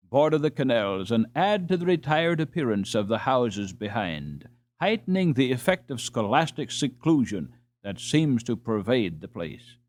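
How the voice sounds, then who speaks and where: A man's voice: mid-range voice, a little mellow. A man narrating a storybook as a scripted audiobook reading.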